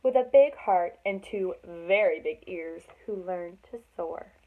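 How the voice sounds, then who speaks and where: A woman's voice: lowish voice, measured pace. A woman reading a children's story aloud expressively, with dramatic emphasis for young listeners. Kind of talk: expressive read-aloud narration with chatty asides. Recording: thin, phone-like band-limited sound.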